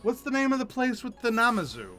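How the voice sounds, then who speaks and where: A male game streamer with a high, bright voice that swings up and down. He comments casually as he plays, with a bit of gravel in his tone and light music behind him. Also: big pitch swings, quick pace. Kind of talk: casual commentary and reading game dialogue aloud.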